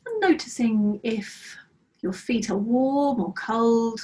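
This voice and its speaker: A middle-aged woman leading a guided mindfulness practice over a video call, speaking slowly and soothingly with gentle pauses, her voice slightly croaky. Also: on the high side, animated, recorded from a distance. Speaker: mindfulness trainer. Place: small office with bookshelves, indoors. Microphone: laptop webcam on a video call.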